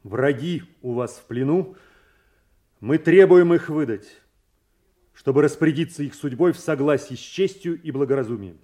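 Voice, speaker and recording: A male actor as a dignified, stern duke in a Shakespearean audio play. His voice is fairly high yet mellow, formal and strongly projected, full of authority. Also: moderate pace, natural voice. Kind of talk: theatrical Shakespearean radio drama acting.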